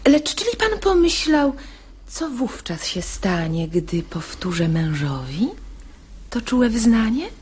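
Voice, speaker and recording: An actress playing a poised, shrewd married lady fending off a suitor, with husky, breathy voice and mannered verse delivery in a radio drama. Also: fairly high pitch, slow, a little hiss or hum. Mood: cool, reproving. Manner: mannered, expressive declamation.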